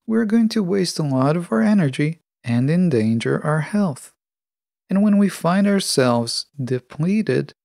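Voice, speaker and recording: Gravelly, dry-sounding podcast host. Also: medium pitch, a little mellow, no echo. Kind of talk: scripted solo podcast narration.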